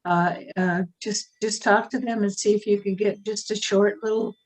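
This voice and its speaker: A woman co-hosting a video call, giving practical advice while losing her voice and clearing her throat. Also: medium pitch, steady tone, normal speed, poor audio. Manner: practical, many fillers. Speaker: expert co-host.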